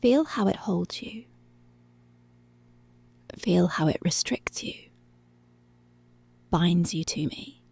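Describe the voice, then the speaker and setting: Woman playing a slow, creaky, commanding policewoman. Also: fairly low pitch, lively intonation, darkish tone, no room sound, full character voice. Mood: domineering. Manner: slow, breathy half-whispered, possessive teasing. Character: dominant, teasing, commanding adult policewoman. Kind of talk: intimate ASMR roleplay.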